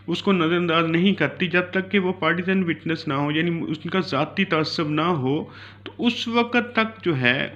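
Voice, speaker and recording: Bright, forceful Pakistani man teaching. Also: on the high side, dull audio. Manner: halting, repetitive, mixes in English legal terms. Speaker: law lecturer, channel host. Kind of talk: explanatory legal lesson.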